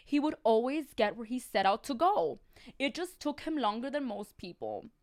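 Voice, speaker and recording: High-voiced woman reading tales comically. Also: animated, moderate pace, darkish tone. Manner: casual, sarcastic commentary with slangy Spanish interjections. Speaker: storyteller on a livestream. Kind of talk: comic read-aloud of children's tales with chatty asides.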